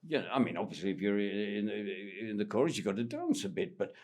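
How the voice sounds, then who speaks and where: A British man, a stage performer, chatting casually in a halting way, interrupting himself and swearing now and then. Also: on the low side, expressive, a little mellow. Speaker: pantomime performer in conversation. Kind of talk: casual chat.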